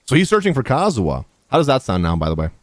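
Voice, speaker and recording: A man's voice: medium pitch, fast, no room sound. A young man giving casual, slangy livestream reactions to a game, close on a desk microphone. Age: young adult. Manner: animated, slangy, reads dialogue choices aloud. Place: small indoor streaming room.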